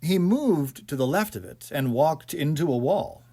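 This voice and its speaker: A man reading a ghost story as scripted dramatic narration, in a theatrical, measured storytelling manner. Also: a little high, animated, dark tone. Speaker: audiobook narrator.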